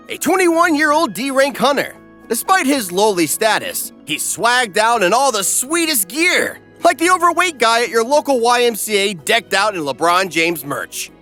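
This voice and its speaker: A male narrator delivers a comic scripted recap in a snarky, exuberant manner. His voice is high and bright and leaps around energetically, with faint music underneath. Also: sing-song, moderate pace.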